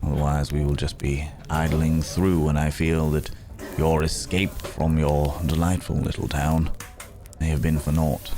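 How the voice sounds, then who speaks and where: Very deep-voiced, courteous fantasy traveller, acted by a man. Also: little pitch movement, unhurried, mellow. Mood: pensive, cautious. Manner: genteel, formal wording, musing with hesitant hums. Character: courteous, world-weary werewolf traveller, a well-spoken man in fantasy. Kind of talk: ASMR roleplay acting, spoken to the listener.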